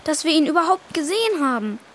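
A woman's voice: sits high, very animated, quick pace, fairly bright tone, a little hiss or hum. A woman playing a brave but weary young boy ghost hunter in a children's radio drama, earnest and plain-spoken. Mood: resigned.

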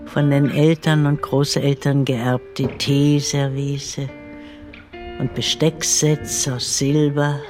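Bitter ghost housemaid telling a dark radio play story, brooding, music behind her. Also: deep voice, flat delivery, slow. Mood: scornful, bitter. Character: ghost of an old family maid, bitter, possessive, devoted. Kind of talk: literary radio drama narration. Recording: studio radio play over loud music.

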